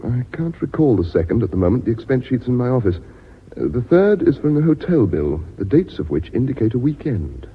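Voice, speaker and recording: Raspy, stiff accountant. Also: male voice, mid-range voice, big pitch swings, fairly quick. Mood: calm, firm. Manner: polite, pedantic. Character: stiff, unflappable, precise male company accountant. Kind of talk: British radio drama acting. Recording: muffled old radio recording.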